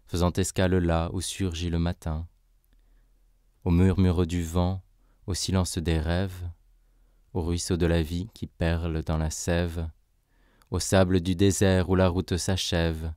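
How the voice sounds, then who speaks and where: A man with a very deep voice gives a solemn poetry recitation. He takes his time, with slow, measured and declamatory phrasing. The recording is very dry and close, with no room around the voice. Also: a little mellow, dry recording. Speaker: poetry reader.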